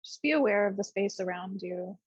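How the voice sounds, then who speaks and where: A woman with a husky, breathy voice leads a meditation as a yoga teacher. She speaks gently in short, unhurried phrases with space between them. The recording is dry and a little dull. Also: mid-range voice, animated, no room sound. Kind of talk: guided meditation, unscripted class instruction.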